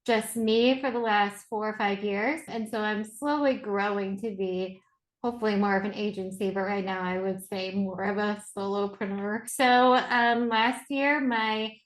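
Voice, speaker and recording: A woman from Indiana speaks at a middle pitch, chatty and a little hesitant. Her voice carries the echo of a roomy space. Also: steady tone, a little slow. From Indiana, USA. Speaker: freelance web designer.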